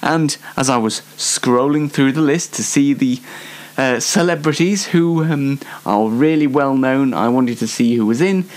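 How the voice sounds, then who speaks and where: A man's voice: medium pitch, a little slow. A twenty-year-old man hosting his own show in a rambling, self-mocking, comedic chat with playful theatrics. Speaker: host of a YouTube show. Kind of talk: chatty comedic monologue.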